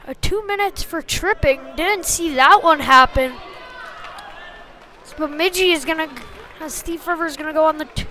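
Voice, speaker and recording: A woman's voice: high voice, moderate pace. A woman doing live play-by-play commentary of youth ice hockey in short clipped phrases. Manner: short clipped phrases, excited on big plays.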